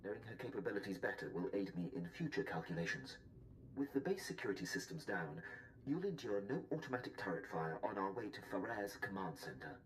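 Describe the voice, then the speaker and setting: Formal officer with lively inflection. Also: male voice, sits low, fairly quick, a little hiss or hum, poor audio. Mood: crisp, deferential. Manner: precise, deferential, formal. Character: formal, dutiful male military officer reporting to his lord. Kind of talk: video game voice acting.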